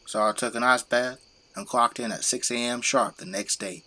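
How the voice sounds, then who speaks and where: A man's voice: mid-range voice, fairly even intonation, normal speed, pushed and bright. An actor playing a middle-aged, devoted father and plain-spoken government zombie fighter in an audio drama. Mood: earnest, reminiscing. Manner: commanding, plain-spoken, folksy turns of phrase. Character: gruff, devoted father working as a zombie-burning government janitor.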